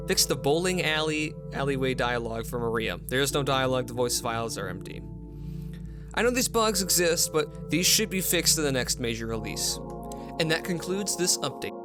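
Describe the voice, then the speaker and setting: A man presenting a software update video in scripted explainer narration. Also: mid-range voice. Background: music.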